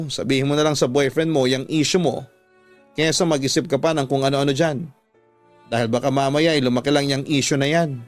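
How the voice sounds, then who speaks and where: A man's voice: fairly high pitch, dry recording, close to an everyday voice. Man putting on a blunt, teasing Filipina friend. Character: blunt, teasing young female best friend.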